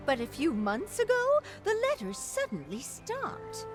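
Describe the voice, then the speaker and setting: A woman's voice: high voice, huge pitch swings, a little slow, exaggerated voice. A woman voicing an elegant, sentimental former actress in video game story dialogue, with a dramatic, lilting storytelling manner. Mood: grave, explaining. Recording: background music under the voice.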